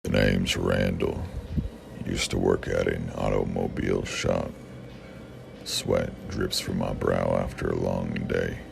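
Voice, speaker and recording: A man voicing a beer-drinking all-American male auto mechanic, speaking in a raspy, very deep voice with a slow drawl. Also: flat delivery, over music, exaggerated voice. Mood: swaggering. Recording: noisy background.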